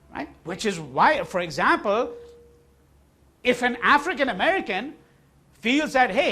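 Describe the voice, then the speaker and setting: Animated male lecturer, high voice. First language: Hindi. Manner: repeats key words for emphasis, asks and answers own questions. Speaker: university lecturer. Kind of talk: classroom lecture. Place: lecture hall.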